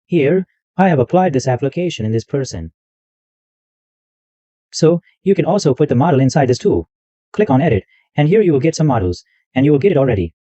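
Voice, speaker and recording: A man hosting a tech tutorial, walking through software step by step and repeating himself as he goes. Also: mid-range voice, big pitch swings, fairly quick, pauses often, no room sound, muffled recording. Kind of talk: screen-recorded software walkthrough.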